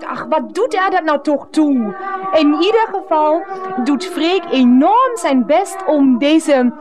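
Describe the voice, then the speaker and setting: A high-pitched, slow-talking woman in a radio comedy. She is a dreamy, earnest mistress, soothing over clearly audible music. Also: animated. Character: Nancy, dreamy, earnest mistress with woolly therapeutic talk. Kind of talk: radio comedy drama acting.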